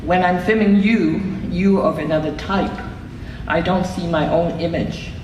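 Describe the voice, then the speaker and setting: An older woman from Hong Kong reading a prepared award acceptance speech in a measured, reflective way, recorded from afar in a reception hall. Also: fairly low pitch, fairly even intonation. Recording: echoey hall from far off, noisy room.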